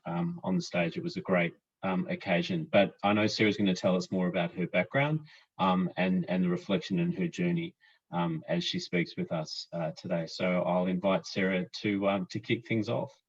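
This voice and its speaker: Very deep-voiced hesitant man moderator. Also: steady tone, normal speed, muffled recording. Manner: hesitant, many ums and restarts. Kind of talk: casual panel moderation. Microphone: video call.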